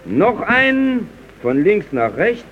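An actor playing a polite but exasperated neighbour who instructs emphatically in a 1930s German radio comedy. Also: male voice, sits high, big pitch swings, slow, full character voice. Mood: polite, instructive. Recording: dull, noisy 1930s radio recording.

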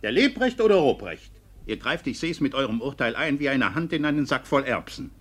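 A stern court councillor in a classic radio drama. His mid-pitched voice is rough-edged with very lively inflection. He speaks with measured, sardonic authority. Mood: sceptical. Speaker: actor in a radio play. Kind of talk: theatrical verse radio play acting.

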